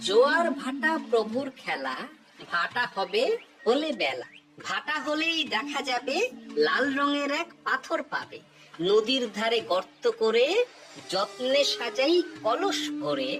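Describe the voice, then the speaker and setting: Clever cartoon jester: highish pitch, animated and confident, music running underneath. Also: female voice, low-quality recording. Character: clever, quick-witted male court jester. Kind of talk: cartoon voice acting.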